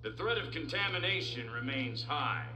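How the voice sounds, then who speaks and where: A man's voice: medium pitch, expressive, normal speed, bright, forceful tone, far from the mic, a little hiss or hum. A man playing a stern, authoritative American general making a formal official statement in a video game cutscene. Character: stern male general turned defense secretary. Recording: echoey hall from afar, music behind.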